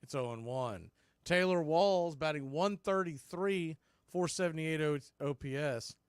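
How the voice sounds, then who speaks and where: An American man with a high voice does baseball play-by-play in a dry, close sound. He speaks in short, punchy calls and drops in conversational asides between pitches. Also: a little slow, clean tone, no echo. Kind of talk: live radio play-by-play commentary.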